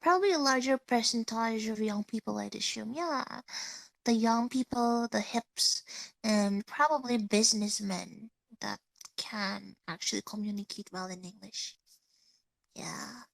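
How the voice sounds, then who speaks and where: A young Indonesian woman with an Indonesian accent and a stuffy nose, chatting casually with listeners as a streamer, halting and searching for words in English. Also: a little high, vocal fry, fairly bright tone, dry recording, low-quality recording. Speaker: VTuber streamer.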